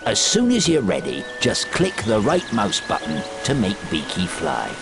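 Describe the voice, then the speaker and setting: A man playing a big, gruff, kindly groundskeeper in a video game, hearty and encouraging. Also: on the low side, huge pitch swings, normal speed, very noisy, full character voice.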